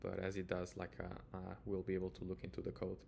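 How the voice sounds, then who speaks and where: A man's voice: sits low, monotone, fast, vocal fry, mellow, slight background noise. A man with a Portuguese accent giving a casual screen-share tutorial, speaking informally and hesitantly with lots of filler words. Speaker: software developer presenting a tutorial.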